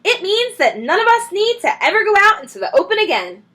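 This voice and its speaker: Jolly fox leader, rousing speechmaker; a woman reading does him, bright and animated. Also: very high-pitched, fairly quick, pitched above the performer's own voice, full character voice. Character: proud, cheerful male fox leader.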